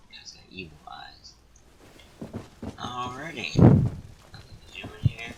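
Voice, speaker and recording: A man's voice: medium pitch, expressive, takes it slowly. A man giving casual, offhand game commentary in short muttered remarks. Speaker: gamer recording a walkthrough. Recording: distant mic, loud background noise.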